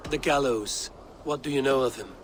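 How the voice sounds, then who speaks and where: A man acts a terse, menacing Viking warrior for a video game. The voice is mid-pitched, blunt and boastful, over faint background noise. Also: normal speed, close to an everyday voice. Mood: grave, blunt. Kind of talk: dramatic video game acting.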